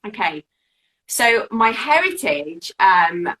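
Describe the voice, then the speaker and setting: A woman's voice: mid-range voice, big pitch swings, takes it slowly, bright, forceful tone, poor audio. A woman from Wales, a guest on a live video-call interview, talking casually with many fillers and restarts. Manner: chatty, lots of fillers and restarts.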